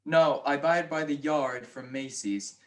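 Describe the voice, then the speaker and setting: A man playing a wry, weary gentleman detective who loves his sleep, in 1940s radio comedy style. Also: on the high side, a little slow, lightly acted.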